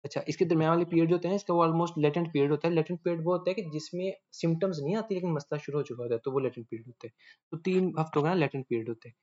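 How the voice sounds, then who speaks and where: A man giving a casual medical lecture, often pausing to check that listeners follow. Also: a little high, a little mellow. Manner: chatty, checks understanding often. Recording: dull lo-fi audio.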